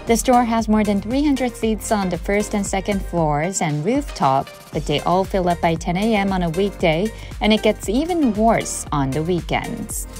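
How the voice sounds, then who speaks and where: A woman narrating a travel video in a calm, scripted voice-over. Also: mid-range voice, moderate pace, hardly any pauses. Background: background music.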